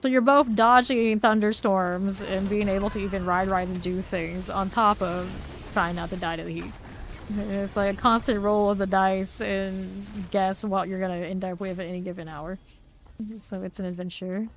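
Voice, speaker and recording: A woman's voice: mid-range voice, little pitch movement, moderate pace, a little hiss or hum. Chatty woman streaming a game. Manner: chatty, rambling, laughs easily between callouts. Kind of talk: casual chat while playing a game live.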